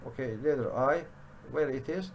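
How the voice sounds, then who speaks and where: Man, middle-aged, halting with many fillers, faint background hiss, home study webcam. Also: mid-range voice. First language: Turkish. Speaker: programming teacher. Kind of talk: screen-share coding tutorial.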